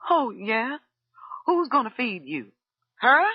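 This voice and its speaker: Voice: sing-song, slow pace, breathy edge, dry recording, muffled recording, exaggerated voice. A reader voicing a furious middle-aged woman cook who screams accusations and then breaks down crying, in an audiobook. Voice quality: shrill, screaming. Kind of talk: audiobook character voice.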